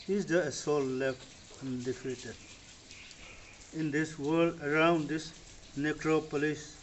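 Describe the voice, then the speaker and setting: Poet, male; slow measured recitation in a roomy, dull lo-fi recording. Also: fairly high pitch. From Manipur, India. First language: Manipuri. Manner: deliberate, repeats each stanza twice. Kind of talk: poetry recitation.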